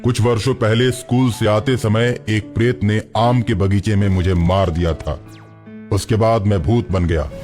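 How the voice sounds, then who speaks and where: A man's voice: lowish voice, a little mellow, voice pushed down, lightly acted. A man voicing a kind, solemn ghost who protects a village, speaking earnestly in a cartoon story. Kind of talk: Hindi cartoon story character voice.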